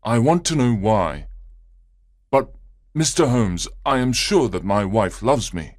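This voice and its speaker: A man's voice: mid-range voice, sing-song, slow pace, no room sound, clean studio sound, voice pushed up. A man voicing an upset, earnest married businessman who tells his story anxiously in a storybook reading. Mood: earnest, troubled.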